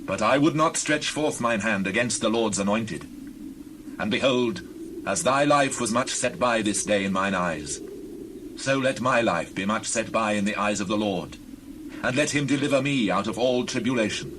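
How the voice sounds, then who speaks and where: An actor playing an earnest, pious fugitive warrior in a dramatized scripture, speaking in solemn, formal, declamatory archaic phrases. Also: male voice, on the low side, normal speed, noisy background, close to an everyday voice. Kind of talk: dramatized scripture audio acting.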